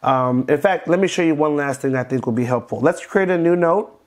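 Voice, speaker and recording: Higher-pitched man giving a tech tutorial. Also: brisk. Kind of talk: step-by-step spoken walkthrough for beginners.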